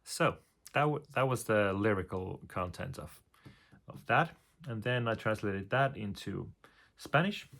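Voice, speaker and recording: A man talks through his composing step by step, pausing often on fillers and humming short melody lines. His voice sits low with a gravelly, creaky edge. He explains things in a hesitant, searching way. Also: animated, fairly bright tone. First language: Finnish.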